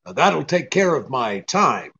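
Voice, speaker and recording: An actor playing a tough, shrewd private eye in old-time radio drama style, clipped and hard-boiled. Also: male voice, medium pitch, sing-song, a little slow, creaky, pushed and bright, muffled recording, heavily acted. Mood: wry.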